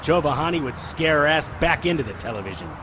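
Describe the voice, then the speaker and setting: An actor playing a cocky, sarcastic private investigator in an audio drama. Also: male voice, fairly high pitch, animated, a little fast, background noise, lightly acted. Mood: wry. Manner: sarcastic, wisecracking.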